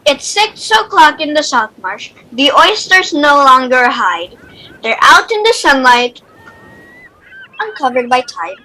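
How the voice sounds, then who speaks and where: A woman's voice: high voice, sharp tone, low-quality recording. A female reader reading a rhyming children's picture book aloud.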